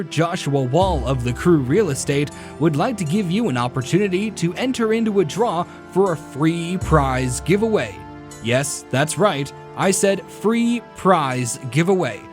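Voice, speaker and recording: An old-time radio announcer and sponsor pitchman. His voice sits fairly high and swings showily for emphasis, with music clearly playing underneath. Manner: emphatic, showy, deliberate pauses. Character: polished male radio show announcer, enthusiastic sponsor pitchman.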